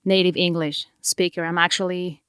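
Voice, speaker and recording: A middle-aged woman with a Mexican Spanish accent speaks in an earnest, heartfelt way. She often slows down to stress a point. Her voice is close and dry, with no room sound. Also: lowish voice, flat delivery, a bit bright, clean tone, no echo. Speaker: podcast host. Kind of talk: reflective motivational monologue.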